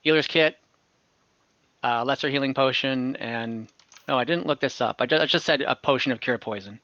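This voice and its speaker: A man narrating a tabletop fantasy game as game master over a video call, in a halting, improvised way. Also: mid-range voice, sharp tone, dry recording. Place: indoors at home, on a video call. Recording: slightly dull online call audio.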